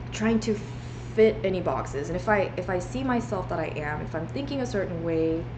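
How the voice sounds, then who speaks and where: A woman's voice: mid-range voice, background noise. A young woman livestreaming from home, talking earnestly and rambling with many restarts. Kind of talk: reflective livestream monologue. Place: home room.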